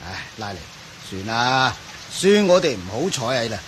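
A crafty older professor in a radio drama, speaking with a Hong Kong Cantonese accent. His pitch swings widely as he sighs and condescends with false geniality. Clear rain noise sits behind the voice. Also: big pitch swings, a little slow. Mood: weary, dismissive.

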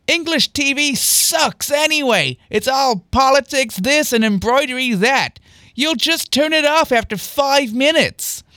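A man's voice: very high voice, expressive, measured pace, pushed and bright, no echo, pitched above the performer's own voice, heavily acted. A man voicing a sarcastic, dismissive young man in a story reading.

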